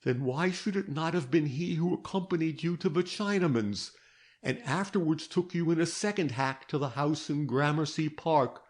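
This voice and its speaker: A man voicing a stern, patient older detective in a storybook reading, pressing his questions in a grave, measured way. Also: a little high, voice pushed up, lightly acted.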